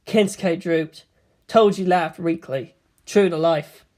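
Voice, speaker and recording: A woman's voice: fairly low pitch, slow, darkish tone. A woman reading a story aloud as scripted narration.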